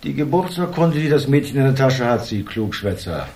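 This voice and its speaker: A man's voice: medium pitch, expressive, normal speed, a little mellow, natural voice. A man acting in a German crime radio drama as a menacing, bullheaded political boss, blunt, sneering and impatient.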